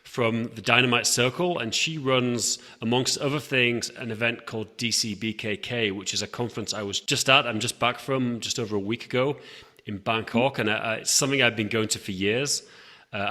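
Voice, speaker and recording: A man hosts an events podcast in an upbeat manner, repeating words as he restarts his sentences. His voice has a bright, forceful edge. It trails off in a long reverb. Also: mid-range voice. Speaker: podcast host. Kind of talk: podcast intro and interview.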